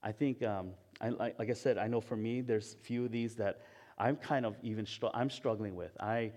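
A man's voice: on the low side, fairly even intonation, darkish tone. Pastor guy from hawaii, chatty sermon, keeps laughing at himself, lots of restarts. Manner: chatty and self-mocking, laughs often at himself; halting, frequent restarts and fillers. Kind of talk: conversational sermon with personal stories.

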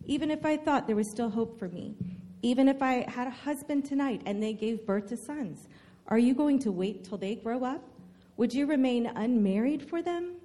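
In a long hall reverb, a woman performs a bereaved older widow from a staged Bible reading. Her voice is fairly high and soft-toned, tender yet bitter, pleading earnestly and deliberately with her daughters-in-law. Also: lively intonation, close to an everyday voice. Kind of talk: dramatic scripture reading. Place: large church hall, live stage reading.

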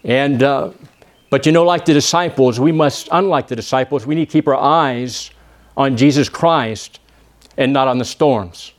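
Texan man preaching, halting with uh pauses, builds to emphatic calls, asks for amen. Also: medium pitch. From Texas. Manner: peppers phrases with "amen?" asking the congregation to answer; halting, with many "uh" pauses and restarts. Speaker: visiting preacher. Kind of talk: church sermon with prayer.

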